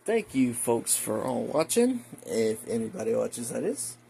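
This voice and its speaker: Gravelly, low-pitched American man, rambling casually. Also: very animated, unhurried, dark tone, slight background noise. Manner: folksy, rambling, muttering asides to himself. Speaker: hobbyist video host. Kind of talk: casual show-and-tell vlog. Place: indoors in a room at home.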